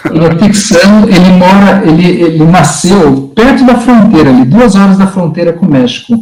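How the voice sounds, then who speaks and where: Guy on a video call, kinda high voice, stops and restarts a lot, echoey room. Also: fairly quick, darkish tone. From Brazil. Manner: hesitant, many restarts and fillers. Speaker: study group participant.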